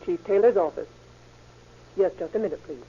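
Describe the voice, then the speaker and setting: An actress playing a guarded, businesslike police secretary in an old radio drama, polite and clipped. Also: female voice, on the low side, moderate pace, close to an everyday voice. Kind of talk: 1940s-50s American radio drama acting. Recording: muffled, noisy old radio recording.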